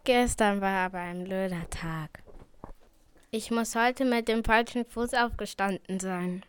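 A woman's voice: mid-range voice, unhurried, slight background noise, lightly acted. A female performer playing a curious young birthday boy in an amateur school radio play.

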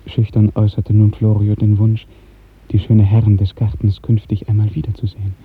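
Low, very husky male radio narrator. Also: monotone. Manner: measured, poetic storytelling delivery. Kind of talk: literary scripted narration, 1950s radio drama. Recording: muffled narrow 1950s radio recording.

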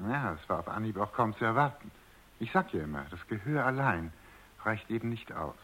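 A man's voice: on the low side, animated, brisk, a bit bright. An actor playing an urbane, middle-aged male scientist in a radio drama, talking in a jovial, wordy, slightly patronizing way. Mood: relaxed, reassuring. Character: urbane, amused, self-assured male scientist, somewhat condescending. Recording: dull, band-limited radio recording.

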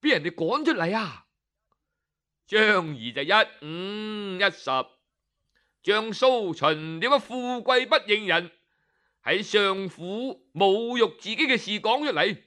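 Storyteller guy, high bright voice, takes his time, dramatic telling. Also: slow pace. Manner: lively, dramatic narration. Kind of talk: Cantonese folk storytelling.

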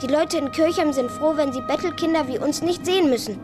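A woman's voice: high-pitched, brisk, music in the background, lightly acted. A woman playing a determined, protective boy who speaks up boldly in a children's radio play. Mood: determined, bitter. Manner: bold, outspoken, insistent.

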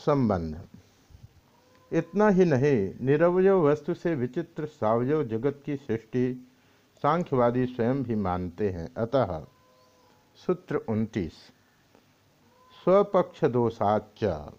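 A man reading scriptural commentary and verse aloud in a deliberate, measured way with pauses. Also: mid-range voice, big pitch swings, a little mellow. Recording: dull lo-fi recording.

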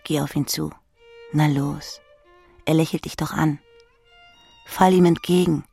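Casting: literary narrator, husky with vocal fry, intimate second-person delivery. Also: medium pitch, steady tone, unhurried, warm tone, soft background music.

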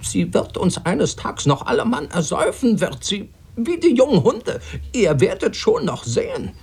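A grumbling ship's cook in a radio play. The voice is highish and gravelly, leaping up and down as it scolds. He preaches piously and curses in the same breath, repeating himself. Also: wildly swooping pitch, moderate pace, a little mellow, heavily acted. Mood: outraged. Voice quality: husky, creaky. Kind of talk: radio drama acting.

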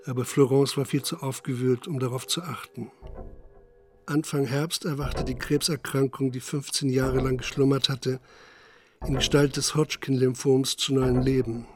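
Sober male narrator, medium pitch. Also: level tone, normal speed, music in the background. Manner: sober, detached storytelling. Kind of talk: scripted literary narration in radio drama.

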